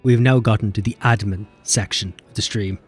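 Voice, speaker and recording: A man's voice: lowish voice, steady tone, moderate pace, husky, soft background music. A man live streaming a game, chatting casually in a blunt, sweary, offhand way. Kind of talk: casual gaming stream chat.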